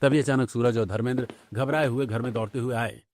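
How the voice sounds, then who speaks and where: A man's voice: mid-range voice, steady tone, fast talker, darkish tone. A man reading scripted story narration for a cartoon tale. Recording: background music under the voice.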